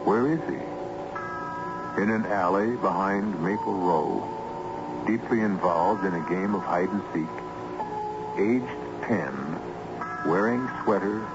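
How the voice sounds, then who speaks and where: A man hosting and narrating an old-time radio mystery with measured, ominous, theatrical delivery. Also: deep voice, over loud music. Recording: muffled, noisy old radio recording.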